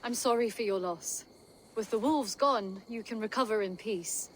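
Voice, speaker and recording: An actress playing a composed, polite huntress and trader in a video game, speaking articulately in a mid-pitched voice. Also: female voice, normal speed, a little hiss or hum, natural voice. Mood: earnest, resolute. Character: poised, courteous huntress and trader woman. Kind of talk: video game cutscene acting.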